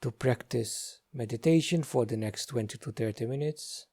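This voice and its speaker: A man leading a guided meditation as a teacher, speaking gently in short, unhurried phrases with many pauses. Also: mid-range voice, husky.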